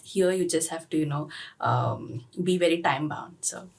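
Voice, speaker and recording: A young woman from India, a student guest on a podcast, speaking in a relaxed way with frequent pauses. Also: low-pitched, husky. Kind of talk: casual podcast conversation.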